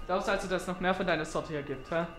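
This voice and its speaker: Taunting young street bully, played by a young man, fast and pushy, echoey sound with some noise. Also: high voice, music in the background, natural voice. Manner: taunting, aggressive, rapid. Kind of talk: amateur short film acting.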